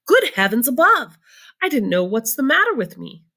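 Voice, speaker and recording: A woman voicing an excitable, boastful old grandfather who booms and stretches out his words in exclamations. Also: brisk, vocal fry, breathy edge, exaggerated voice. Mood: exclaiming. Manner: booming, exclaiming, stretched emphasis.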